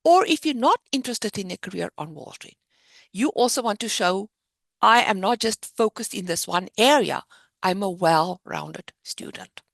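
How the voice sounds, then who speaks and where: A woman's voice: mid-range voice, expressive, normal speed, creaky, fairly bright tone, no room sound. A woman with a South African accent, founder of a finance program, giving an upbeat, emphatic presentation on a video call. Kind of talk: virtual fair presentation.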